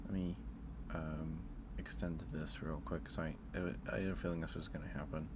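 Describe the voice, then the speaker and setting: A man hosting an ASMR livestream in a soft, whispery voice, hushed and unhurried, close to the listener. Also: very deep voice, little pitch movement, background noise. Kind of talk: ASMR, casual chat to live viewers.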